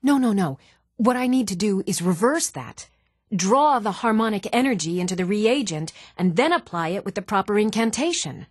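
An actress playing a scatterbrained, excitable woman mage in a fantasy video game, absent-minded and emphatic, questioning herself. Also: medium pitch, moderate pace, breathy edge, dry recording, studio quality. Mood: flustered. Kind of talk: fantasy game character dialogue.

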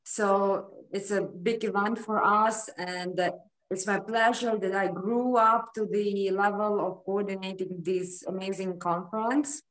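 Female guest, earnest and hesitant, mid-pitched voice in a roomy space. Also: steady tone, unhurried. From Ukraine. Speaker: program coordinator, guest. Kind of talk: casual video interview.

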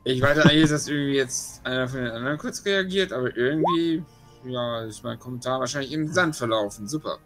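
A man's voice: medium pitch, brisk, a bit bright, soft background music. A man taking part in a tabletop role-playing game, switching between in-character speech and casual chat.

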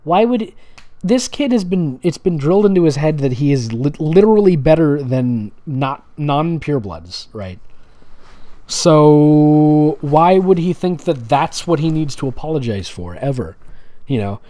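A man's voice: on the high side, sing-song, a little slow, warm tone. A man reading amateur fan fiction aloud sarcastically, pointing out typos and breaking off for casual commentary.

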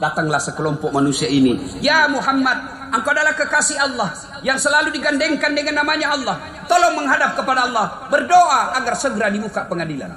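A middle-aged Sulawesi-accented preacher delivers a Friday sermon into a stand mic, his high, bright, forceful voice ringing through an echoey mosque hall. He tells dramatic stories and throws rhetorical questions at the congregation, dropping to a hush and then rising again. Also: animated, fast. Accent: Indonesian from Sulawesi. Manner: dramatic storytelling preacher, rhetorical questions, swings from loud to hushed. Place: mosque stage, indoors. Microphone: stand mic at lectern.

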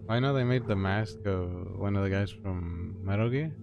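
Lowish-voiced man playing and chatting. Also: normal speed, vocal fry, highs cut off. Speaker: player in a let's-play. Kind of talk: casual chat while gaming. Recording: game music under the voices. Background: video game music and rumble.

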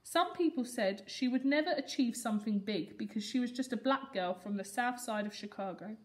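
A woman's voice: on the high side, lively intonation, moderate pace. A young woman reading stories aloud to young children like a teacher, expressively and with explanatory emphasis. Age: young adult. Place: school classroom reading corner, indoors.

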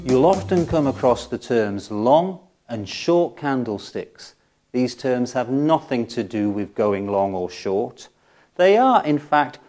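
A man narrates an educational film with very varied intonation. His pitch sits in the middle, and he stresses key words in a rhetorical way. Soft instrumental music runs behind his voice. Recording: music under the voice.

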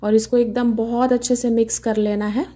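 A woman's voice: fairly high pitch, flat delivery, normal speed, warm tone. A woman hosting her own cooking channel, chatting through a recipe step by step in her home kitchen. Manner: chatty, homely step-by-step explaining.

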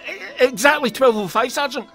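An actor playing a nervous, obedient police constable in an audio drama, hesitant and flustered. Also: male voice, extremely high pitch, normal speed, sharp tone, soft background music, full character voice. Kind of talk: full-cast audio drama acting.

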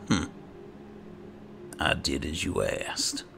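A man with a gravelly voice giving casual, low-key commentary while playing a fantasy game, musing aloud as he goes. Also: animated, very slow, background noise. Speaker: gaming host. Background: game ambience.

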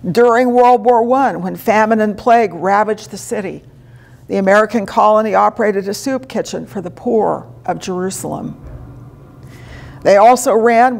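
Slow, gravelly woman preaching a sermon. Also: medium pitch, darkish tone. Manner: slow, deliberate preaching with emphatic pauses. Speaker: pastor. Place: small chapel in front of a stone wall.

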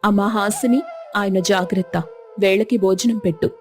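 Woman playing a mellow, wronged young wife. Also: mid-range voice, fairly even intonation, normal speed, soft background music, lightly acted. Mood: hurt, resigned. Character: wronged, self-sacrificing wife, gentle elder sister. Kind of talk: animated moral story dialogue.

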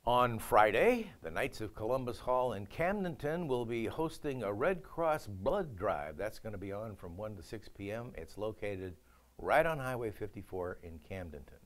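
A man's voice: on the low side, lively intonation, moderate pace. Folksy older male local news anchor. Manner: folksy, jokey delivery. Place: studio.

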